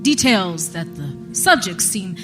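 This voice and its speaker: Slow-spoken, persistent special agent. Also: female voice, fairly low pitch, big pitch swings. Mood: reassuring, measured. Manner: measured, coaxing, deliberate. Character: calm, persistent female FBI special agent questioning witness. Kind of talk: live theatrical audio drama acting. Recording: live stage recording with music behind.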